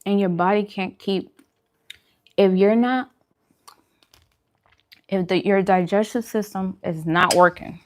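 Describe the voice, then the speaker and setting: A woman's voice: mid-range voice, fairly even intonation, slow, pauses often, darkish tone. A woman with a mid-range voice talks casually to her viewers, as in a food vlog. She explains things in an informal, conversational way and stresses her points by repeating them. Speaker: food and wellness vlogger. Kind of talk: casual mukbang chat giving diet advice.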